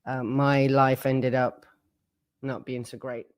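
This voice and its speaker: A man's voice: medium pitch, flat delivery, slow, smooth. A man in his sixties giving plain, frank personal testimony about his childhood. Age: 60s. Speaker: former member telling his story.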